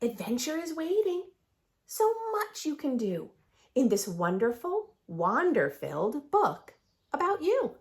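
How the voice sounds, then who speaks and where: A woman reading a picture book aloud for young children in an expressive, sing-song storytelling manner, adding her own asides. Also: high voice, unhurried. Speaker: children's read-aloud host.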